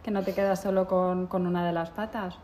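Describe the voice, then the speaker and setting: A woman's voice: lowish voice, level tone, a little fast, mellow, slight background noise. A woman hosting a podcast, asking long, meandering questions and backchanneling as her guest speaks. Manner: friendly backchanneling, rambling questions. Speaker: podcast host, interviewer. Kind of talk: casual interview chat.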